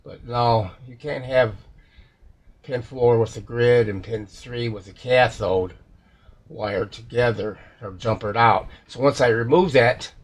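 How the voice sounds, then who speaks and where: A man with a gravelly, husky voice giving a casual, rambling repair walkthrough, hesitating and correcting himself as he goes. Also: mid-range voice, takes it slowly. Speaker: repair technician.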